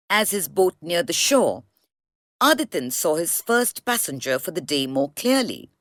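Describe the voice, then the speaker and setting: A woman reads a children's story aloud as narrator. Her delivery is slow and deliberate, with extremely animated pitch, and the recording is close and dry. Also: no room sound.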